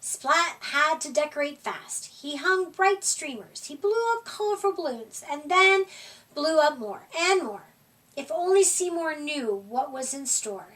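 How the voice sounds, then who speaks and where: A woman reading a children's picture book aloud at a library storytime, with gentle, expressive delivery. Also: sits high. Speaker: storytime reader. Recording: voice from far off the mic.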